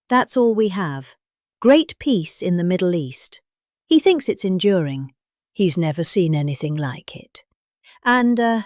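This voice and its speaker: A middle-aged woman with a British accent reads a political speech aloud. Her delivery is theatrical, with highly varied intonation, peculiar pauses and overdone emphasis. Her voice sits at an average pitch in a very dry recording. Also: darkish tone, no echo. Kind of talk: dramatic reading of a political speech transcript.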